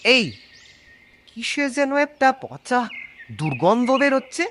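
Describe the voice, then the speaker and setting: A woman's voice: fairly high pitch, very animated, slow pace, fairly bright tone, slight background noise, heavily acted. A woman voicing a fussy, disgusted villager in a cartoon ghost story. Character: disgusted villager sniffing out a stench.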